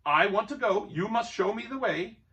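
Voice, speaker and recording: A man's voice: high-pitched, animated, normal speed, bright, forceful tone, distant mic, muffled recording, voice pushed up. A man voicing a small hobbit hero who tries to sound bold and cheerful. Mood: insistent.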